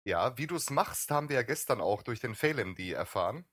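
A man's voice: mid-range voice, lively intonation, fairly quick, fairly bright tone, husky, no echo, clean studio sound. A man co-hosting a casual streamed podcast, teasing and needling his co-host.

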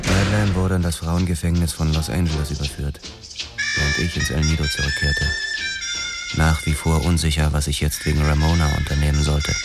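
A disgraced detective narrates in a very deep voice over prominent music. He is sober, measured and resigned, telling his own story in a noir radio play. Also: level tone. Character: world-weary disgraced American police detective narrating his downfall. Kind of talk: scripted first-person radio drama narration.

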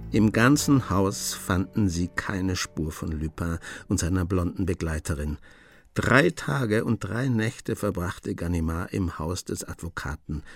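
A man playing the composed narrator of a detective radio play, telling the story in measured, scripted phrases. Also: low-pitched, gravelly, exaggerated voice. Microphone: close studio microphone.

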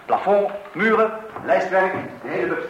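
A man's voice: sits high, animated, normal speed, lo-fi sound. An actor playing a bumbling, eager middle-aged family man in a radio comedy, stammering and muttering repeated words to himself. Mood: defensive, chatty. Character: bumbling, eager husband and father doing home DIY. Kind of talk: radio comedy play acting. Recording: noisy, echoey old radio play recording.

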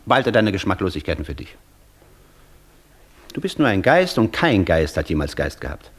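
A man's voice: low voice, big pitch swings, moderate pace, breathy edge, full character voice. A man acting a proud, cool intellectual in a German radio play, with a declamatory, argumentative and precise delivery. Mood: reflective, disdainful. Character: proud intellectual scholar, cool, challenging the Devil.

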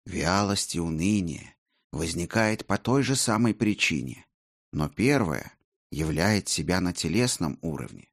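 A man's voice: low voice, expressive, unhurried, dry recording, clean studio sound, heavily acted. A man voicing a stern, scornful Buddhist monk teacher in a storybook, speaking in a blunt, didactic way. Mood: instructive.

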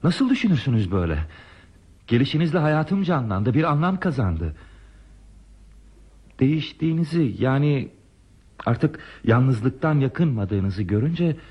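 A male actor playing a lonely, tender railway man in a radio play, speaking politely in a halting, earnest, theatrical way. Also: medium pitch, sing-song, breathy edge, highs cut off. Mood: hesitant, polite.

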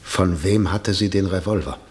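An actor playing a formal, probing state prosecutor in a German radio play, putting clipped questions. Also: male voice, low-pitched, normal speed, lightly acted. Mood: pressing.

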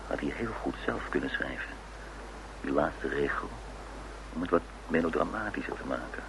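Very low male voice, dry hotel detective, clipped phrasing, radio crime drama, loud background hiss. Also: vocal fry, full character voice. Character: hotel detective Steve, deep-voiced, dry, speculating man. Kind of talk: radio crime drama acting with inner monologue. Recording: noisy old radio drama recording.

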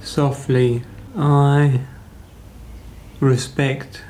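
Very slow, halting, flat-toned man. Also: mid-range voice. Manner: halting, short fragments. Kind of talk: interview answers in simple English.

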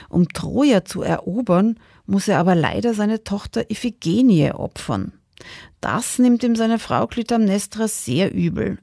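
A woman's voice: lowish voice, creaky, warm tone, dry recording, studio quality. A woman presenting a radio play in a scripted introduction.

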